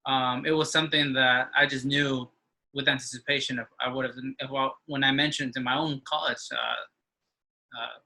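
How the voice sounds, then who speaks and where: A man in his twenties, an interview guest, talking with halting speech and frequent restarts. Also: medium pitch, sharp tone, rough recording. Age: 20s. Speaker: interview guest, engineering student. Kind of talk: casual conversation.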